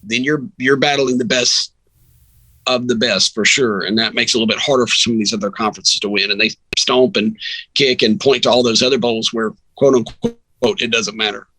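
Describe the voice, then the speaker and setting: A man's voice: mid-range voice, fairly even intonation, a little fast, pushed and bright, dry recording, low-quality recording. A man talking sports casually as a podcast guest, opinionated and emphatic, often rambling into asides. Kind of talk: sports talk, casual conversation.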